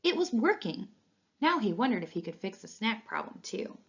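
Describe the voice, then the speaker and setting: A woman reading a children's storybook aloud in an expressive, animated way. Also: medium pitch, normal speed, gravelly, husky. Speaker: read-along reader. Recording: dull, lo-fi sound.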